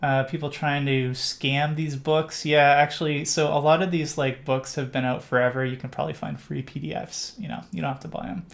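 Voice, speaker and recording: Gravelly Canadian man rambling casually. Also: a little high, steady tone, fairly quick, few pauses. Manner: rambling with many fillers and restarts. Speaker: livestream host. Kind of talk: casual live Q&A chat.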